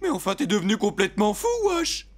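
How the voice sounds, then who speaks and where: An exasperated cartoon pet owner over loud music. His high voice swoops wildly as he moves between coaxing and indignation, in theatrical French dub style. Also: very animated, fast. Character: exasperated adult man, owner of a dog. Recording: music behind the voice.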